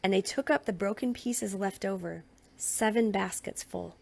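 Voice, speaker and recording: A woman reading a Bible narrative aloud in an expressive storytelling way. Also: medium pitch, moderate pace, creaky. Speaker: scripture reader.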